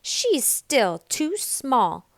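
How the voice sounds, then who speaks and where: A woman voicing a big, domineering storybook woman with a loud, blustery, drawn-out way of talking. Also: high-pitched, very animated, gravelly, dry recording, clean studio sound, voice pushed up, exaggerated voice. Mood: dismissive. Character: big, blustery, domineering grown women of the family.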